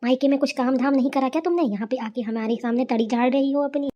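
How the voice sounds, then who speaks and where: Woman as a shrill-pitched nagging mother-in-law. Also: quick pace, mellow, muffled recording, slight background noise, close to an everyday voice. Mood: scolding. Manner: nagging, reproachful. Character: scolding, disdainful village mother-in-law, middle-aged. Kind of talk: Hindi cartoon family comedy dubbing.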